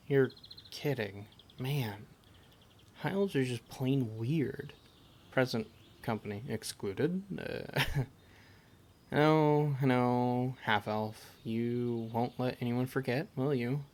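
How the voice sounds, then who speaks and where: A man playing a caring, teasing adventurer who comforts a party member, in a gentle, halting, conversational amateur audio roleplay. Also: medium pitch, a little hiss or hum. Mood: playful, curious.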